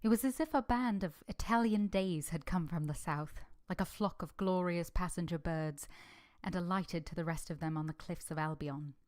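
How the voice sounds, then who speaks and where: Casting: a woman playing devoted young servant heroine, slightly low voice, earnest and composed, close dry sound, vintage radio drama. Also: a little mellow, dry recording, natural voice. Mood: solemn narrating. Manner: earnest, deferential, measured. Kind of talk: old-time radio drama acting and narration.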